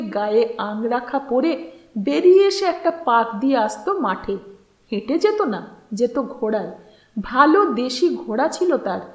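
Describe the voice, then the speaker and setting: Female audiobook narrator, high-pitched and unhurried, expressive deliberate phrasing, echoey room. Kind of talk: expressive literary story narration. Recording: roomy, echoing voice recording.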